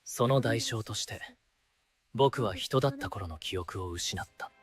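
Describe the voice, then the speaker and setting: A woman voicing a gentle, melancholy young male grim reaper hero in a solemn, measured anime voice drama monologue. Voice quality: soft, low, gentle male voice. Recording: music under the voice.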